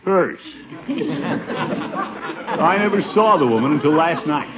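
A flustered middle-aged American uncle in an old radio sitcom. His pitch swoops wildly as he blusters, stammers and chuckles nervously, all through a thin, tinny vintage recording. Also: expressive, unhurried, over a noisy crowd. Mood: indignant. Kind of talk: 1950s radio situation comedy acting.

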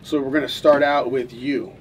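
A man's voice: mid-range voice, fairly even intonation, moderate pace, distant mic, a little hiss or hum. A man hosting a casual podcast group chat, blunt and joking as he steers the questions. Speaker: podcast host.

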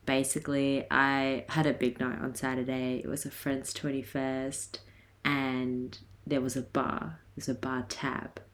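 A woman in her twenties with a hoarse, raspy voice, chatting casually and laughing often as she hosts her podcast. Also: extremely low pitch, flat delivery, a little slow. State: hoarse from a night of singing. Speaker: podcast host. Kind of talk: casual solo podcast chat.

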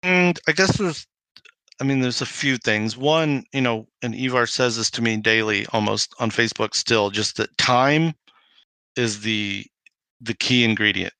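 A man's voice: medium pitch, measured pace, sharp tone, clean tone, dry recording, poor audio. A man, a podcast guest, talking casually and anecdotally, rambling as he retells things and acts out bits of dialogue. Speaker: podcast guest, homebrewing video maker. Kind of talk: casual anecdotal interview talk.

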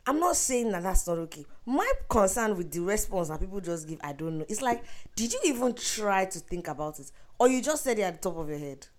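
A woman co-hosting a podcast in casual chat, her voice a little raspy and husky, pressing her point. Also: medium pitch, big pitch swings, brisk, few pauses. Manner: insistent, argumentative. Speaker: podcast co-host.

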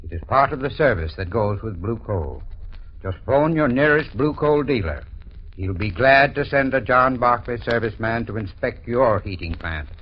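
A man's voice: mid-range voice, lively intonation, moderate pace. A man giving a formal, earnest scripted sales talk as an expert spokesman in old-time radio style. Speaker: sponsor's spokesman, heating expert. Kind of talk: 1930s scripted radio advertising. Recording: muffled, hissy old radio broadcast.

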